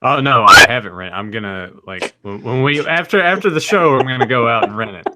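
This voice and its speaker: A man's voice: mid-range voice, expressive, a little fast, heavy background noise. A man co-hosting a podcast in casual, conversational chat, with chatter heard behind his voice. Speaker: podcast co-host. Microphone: headset.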